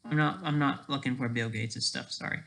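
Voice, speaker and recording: A person thinking aloud in a casual, digressive commentary over study notes, halting often and talking in an informal, self-deprecating way. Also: medium pitch, steady tone, creaky, a bit bright, slight background noise. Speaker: solo online commentator.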